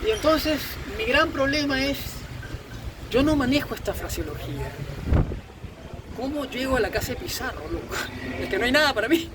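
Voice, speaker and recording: Peruvian guy ranting his opinions, pretty high voice, repeats himself for emphasis, noisy rumble behind him. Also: lively intonation, moderate pace, pauses often. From Peru. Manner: emphatic, repetitive, florid vocabulary. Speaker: video host. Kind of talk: opinionated informal monologue.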